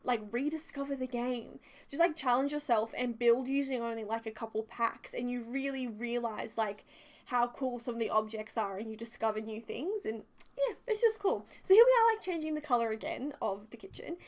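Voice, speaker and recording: Young female vlogger, slightly higher voice with a touch of sore-throat croak, loose chatty narration full of asides. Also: normal speed. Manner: chatty and rambling, many fillers and side notes. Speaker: video creator narrating a build. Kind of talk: casual voice-over commentary.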